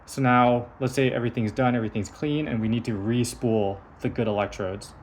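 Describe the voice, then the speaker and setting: A man's voice: mid-range voice, steady tone, a little fast, a little hiss or hum. A man giving a hands-on technical demonstration of a device in a methodical, explanatory manner. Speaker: engineer presenting a prototype device.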